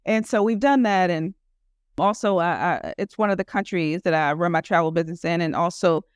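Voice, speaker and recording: A woman's voice: lowish voice, a little fast, clean tone, no echo, clean studio sound. A woman guest in a casual interview who talks earnestly about her work, rambling and filling her speech with "you know". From United States. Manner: earnest, rambling with many fillers. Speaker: guest entrepreneur.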